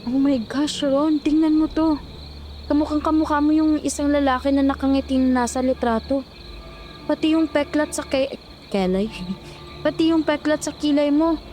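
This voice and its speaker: A woman's voice: high-pitched, fairly even intonation, moderate pace, warm tone, a little hiss or hum, pitched above the performer's own voice, lightly acted. A woman acting an alarmed young female call center agent, agitated and exclaiming, in a horror audio drama. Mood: shocked.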